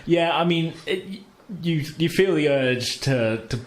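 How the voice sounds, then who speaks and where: A man's voice: on the high side, lively intonation. Man running a tabletop game in an echoey room. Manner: halting, conversational, chuckling. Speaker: game master. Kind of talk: casual tabletop game narration. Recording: roomy, echoing home recording with faint noise.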